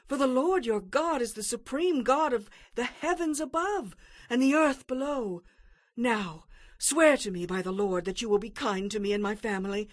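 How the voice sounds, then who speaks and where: A woman's voice: a little high, no room sound, clean studio sound, natural voice. A woman playing an anxious, pleading woman of ancient Jericho, shrewd and earnest, in a dramatized audio Bible.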